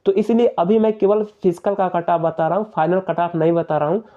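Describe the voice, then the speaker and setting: Young male explainer, high-pitched, persuasive and repetitive, on a dull narrow recording. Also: fairly even intonation, fairly quick. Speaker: exam-prep YouTuber. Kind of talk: informal explainer addressing viewers.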